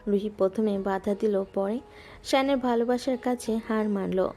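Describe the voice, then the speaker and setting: A woman gently narrating a scripted romantic audio story. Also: mid-range voice, moderate pace. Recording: music bed underneath.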